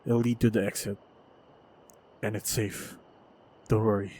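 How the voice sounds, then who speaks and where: A man plays a protective fighter in a fantasy action roleplay, addressing the listener directly. His voice is on the low side and he takes his time, giving short phrases with pauses between them. The track is clearly noisy. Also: little pitch movement, a little mellow. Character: steady protective male fighter guiding a princess. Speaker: voice actor in audio roleplay. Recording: noisy, effects-heavy track.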